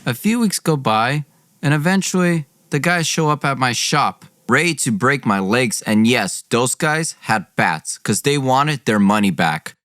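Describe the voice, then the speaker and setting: A man's voice: medium pitch, animated, unhurried, a bit bright, no echo, studio quality. A young man hosting a podcast, telling a personal story in an informal, dramatized way. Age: young adult.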